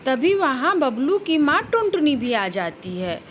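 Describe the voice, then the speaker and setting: A woman's voice: sits high, very animated, a little slow, a little hiss or hum. A woman narrating a scripted children's cartoon story as a storyteller.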